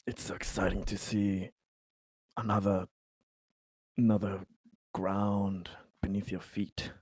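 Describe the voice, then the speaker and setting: A man playing a tabletop role-playing game, improvising in-character dialogue in a friendly, halting way. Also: low-pitched, flat delivery, darkish tone, husky, no room sound, low-quality recording.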